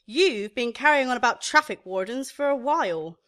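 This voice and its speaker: Radio comedy casting: actress for breezy female shop assistant, casual, high and lively voice. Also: normal speed. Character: breezy, unruffled, helpful woman shop assistant. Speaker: actor in a radio play.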